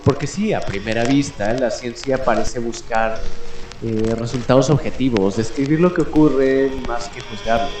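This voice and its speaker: A man hosting a radio show in character as a 1940s commentator, delivering a halting, reflective monologue full of rhetorical questions. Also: medium pitch. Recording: echoey voice over clear background music. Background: music.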